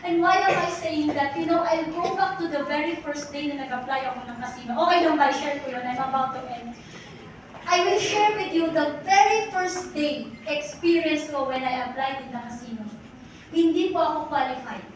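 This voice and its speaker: High-voiced Filipino woman in an echoey hall. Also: brisk. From Philippines. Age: 40s. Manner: mixes English and Tagalog, with repeated rhetorical questions; addresses the audience directly again and again. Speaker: motivational speaker at a company event. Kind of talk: testimonial speech to an audience. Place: large echoey event hall. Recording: echoey hall, voice from far off, background noise.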